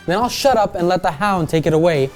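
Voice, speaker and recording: Woman, video host, mellow voice, scripted but opinionated, faint background music. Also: fairly low pitch, lively intonation, fast. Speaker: video host presenting a countdown list. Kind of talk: scripted countdown narration with casual commentary.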